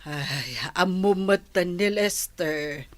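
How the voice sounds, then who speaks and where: A woman's voice: on the low side, slow, no echo. A woman playing an affectionate elderly grandmother in an Ilocano radio comedy-drama. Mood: concerned, chatty.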